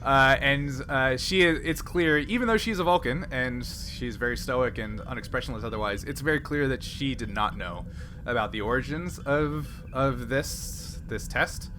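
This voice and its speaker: A man's voice: mid-range voice, normal speed, noisy background. A man narrating as game master of a tabletop roleplaying game, explaining in a conversational way. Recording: music playing behind the voice. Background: music.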